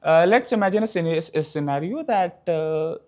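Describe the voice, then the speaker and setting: A man's voice: fairly high pitch, expressive, moderate pace, a little mellow. Young Indian man explaining in an interview. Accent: Indian English. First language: Marathi. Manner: explanatory, says "okay" often after points. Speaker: guest, professor. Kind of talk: interview answers.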